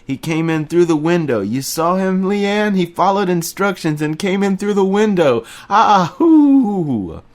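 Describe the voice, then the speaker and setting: A man voicing a boisterous young Frenchman who shouts and roars with laughter, well above his own pitch. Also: expressive, unhurried, lightly acted. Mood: hilarious, shouting.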